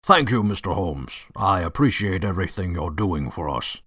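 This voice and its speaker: A determined gentleman heir, acted by a man for an audio drama. His voice is fairly low with a very mobile pitch, recorded close and dry. He speaks courteously, with a firm streak. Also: animated, moderate pace, no room sound, full character voice. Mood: polite, puzzled.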